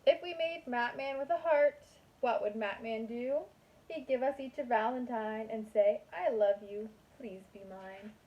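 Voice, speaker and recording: A woman's voice: a little high, measured pace, muffled recording. A woman kindergarten teacher reading a picture book aloud to young children in a sing-song manner.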